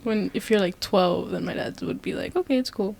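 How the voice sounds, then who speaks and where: A woman's voice: mid-range voice, fairly quick, vocal fry, darkish tone, a little hiss or hum. A teenage girl in a casual interview, talking loosely with lots of fillers and frequent laughs. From Netherlands. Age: teens. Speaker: guest sprinter.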